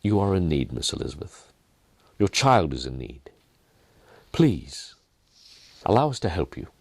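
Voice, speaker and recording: Man playing a kindly miner, very expressive. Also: rumbling low, measured pace, vocal fry, no room sound, heavily acted. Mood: gentle pleading. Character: kindly, earnest, wry Outback miner of gentle faith.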